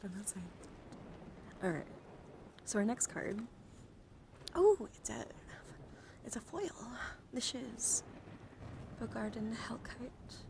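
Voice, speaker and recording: A young woman hosts an ASMR livestream with clear noise behind her voice. She talks slowly at a medium pitch, close to the mic, slipping often into whispers. Her manner is playful and teasing, coddling her viewers as she chats. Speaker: live streamer.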